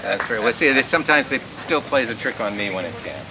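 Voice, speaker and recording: A man's voice: medium pitch, lively intonation, fast talker. A man hosting a show with casual, off-the-cuff live patter. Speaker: host performing a card trick. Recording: very noisy live recording.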